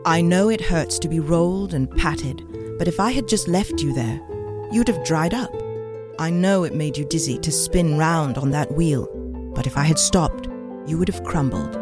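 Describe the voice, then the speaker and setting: A woman voicing a patient, kindly but firm master potter in a storybook, speaking in a measured way. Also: sits low, voice pushed down.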